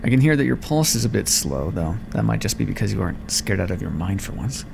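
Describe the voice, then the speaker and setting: A man's voice: on the low side, expressive, fast, vocal fry, background noise. A man playing a clinical, smug vampire scientist in an ASMR roleplay, teasing and condescending as he muses aloud to a captive. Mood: clinical, musing. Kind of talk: ASMR roleplay, intimate scripted monologue.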